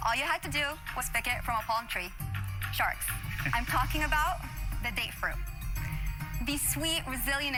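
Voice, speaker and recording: A woman from Syria pitching her business to investors, polished and earnest. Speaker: entrepreneur pitching. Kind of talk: scripted pitch then Q&A. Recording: TV show clip with music under.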